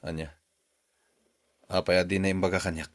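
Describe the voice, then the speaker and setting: Man acting a low-voiced stubborn Ilocano convalescent. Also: level tone, moderate pace, noisy background, close to an everyday voice. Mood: subdued. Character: male office worker recovering from mild stroke, stubborn, grumbling. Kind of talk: Ilocano radio drama acting.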